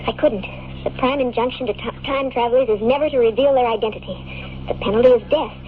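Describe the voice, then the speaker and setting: A tinny old radio recording with faint noise carries a woman acting as a young American time traveler in love. Her voice is high, and she speaks with earnest, pleading intensity in classic radio drama fashion. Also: quick pace, lightly acted. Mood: confessing.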